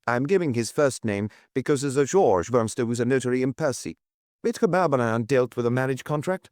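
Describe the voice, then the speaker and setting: A man voicing a brisk, guarded lawyer in an audiobook, formal, officious and insistent on the telephone. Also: mid-range voice, lively intonation, darkish tone, no echo, studio quality, natural voice. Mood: formal, businesslike. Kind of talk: audiobook character dialogue.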